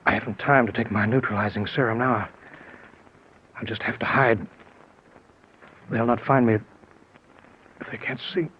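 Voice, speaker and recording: An actor playing a cold-blooded wounded killer who gives urgent, clipped orders, in a 1940s radio drama style. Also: male voice, mid-range voice, steady tone, moderate pace, long pauses, natural voice. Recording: muffled, hissy old radio drama recording.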